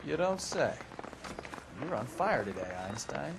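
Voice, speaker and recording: A man's voice: mid-range voice, very animated, measured pace, vocal fry, noisy background. An actor playing a world-weary, wisecracking male police detective with a sarcastic manner in a game cutscene. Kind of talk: video game cutscene acting.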